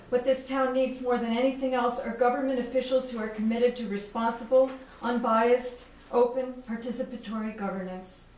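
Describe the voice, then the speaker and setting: A woman with a somewhat high voice reads a prepared statement to a town board, firm and deliberate. She stands away from the mic in an echoey meeting room, with a faint hiss underneath. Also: a little mellow, far from the mic. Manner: firm and deliberate, listing demands point by point. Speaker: resident reading a statement at public comment. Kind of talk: formal prepared statement read aloud.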